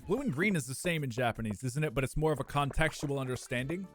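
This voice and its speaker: A young man, chatting casually with his live-stream viewers, animated and prone to squeaky bursts of laughter. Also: quick pace, music in the background.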